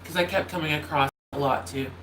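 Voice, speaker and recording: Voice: mid-range voice, steady tone, brisk, a bit bright. A YouTuber chatting casually on a holiday livestream, with a nasal, slightly cracking voice, often apologizing and losing the thread. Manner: apologetic, loses track and restarts often. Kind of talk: casual rambling chat to viewers. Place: room at home with a loud space heater. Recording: roomy echo, faint heater hum.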